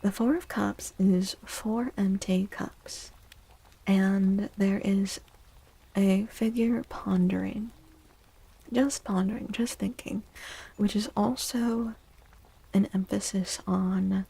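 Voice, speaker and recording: A woman reading tarot as a livestream host in a soft-spoken, near-whispered ASMR style, gentle and reassuring, close to the microphone. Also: medium pitch, steady tone, slow pace, vocal fry, breathy edge.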